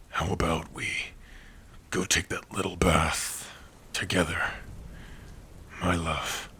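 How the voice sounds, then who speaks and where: A man playing a big, bashful orc in love, speaking haltingly and intimately in an ASMR fantasy roleplay. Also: sits low, slight background noise, full character voice. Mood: bashful, affectionate. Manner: hesitant, halting, soft-spoken, intimate.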